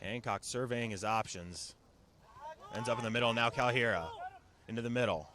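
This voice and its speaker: A man doing television soccer play-by-play in short, clipped, energetic phrases. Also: mid-range voice, normal speed, crowd noise.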